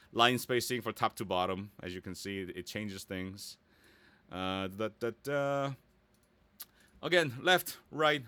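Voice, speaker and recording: A man giving a casual, easygoing software tutorial, thinking aloud and muttering small asides as he works. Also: on the low side, no echo. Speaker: voice actor giving a website-building tutorial.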